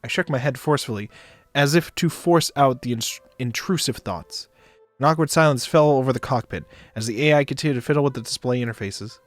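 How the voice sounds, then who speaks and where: A man reading a visual novel aloud as a streamer, with expressive narration. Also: mid-range voice, fairly quick, dry recording. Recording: dry close mic, background music.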